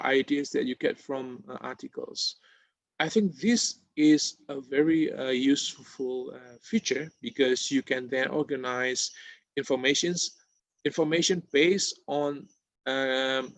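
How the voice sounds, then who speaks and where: A man whose first language is Japanese walks students through a tutorial on a video call, his voice a little gravelly and recorded dry and close. He talks hesitantly, with many fillers and restarts along the way. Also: medium pitch, fairly bright tone, dry recording. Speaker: webinar presenter. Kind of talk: screen-share tutorial. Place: indoor room at home. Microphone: laptop mic on video call.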